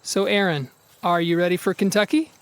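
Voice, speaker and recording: A man's voice: high voice, steady tone, a little fast, clear, resonant tone, dry recording. A man acting a reassuring, reflective and sentimental brother in a naturalistic audio drama. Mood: supportive.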